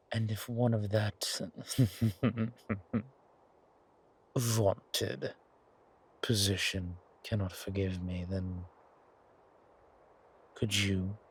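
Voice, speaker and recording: Man acting a slow, breathy seductive vampire. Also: lowish voice, exaggerated voice. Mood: darkly amused. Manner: slow, drawn-out, softly taunting, often whispering. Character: seductive predatory male vampire, suave, menacing, hungry. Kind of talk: ASMR horror roleplay acting.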